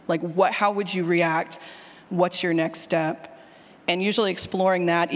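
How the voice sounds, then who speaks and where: An animated presenter speaks to a live session in a conversational, emphatic way, like a teacher addressing students. The voice sits at a middling pitch and carries the long reverb of a hall. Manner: animated, informal, emphatic, repeats questions aloud. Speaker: speaker presenting a writing workshop, former college teacher. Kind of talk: conference talk with audience questions. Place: indoor conference session room or hall.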